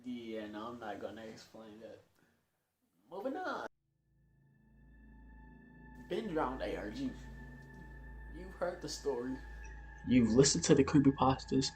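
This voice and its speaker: A man's voice: mid-range voice, very animated, unhurried, long pauses, darkish tone. Casual man narrating over music, slightly stumbling. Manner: informal, stumbling over words, offhand asides. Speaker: YouTube video host. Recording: roomy echo, music behind. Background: background music.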